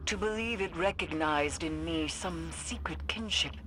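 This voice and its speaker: A woman playing a solemn, brooding mystic guide, speaking in a grave, deliberate, theatrical way in a sci-fi game. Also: fairly low pitch, fairly bright tone, slight background noise, low-quality recording.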